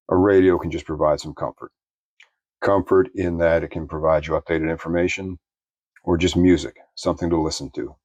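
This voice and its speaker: A man giving an unscripted product review in a plainspoken, measured, explanatory way. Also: deep voice, darkish tone, dry recording, dull audio.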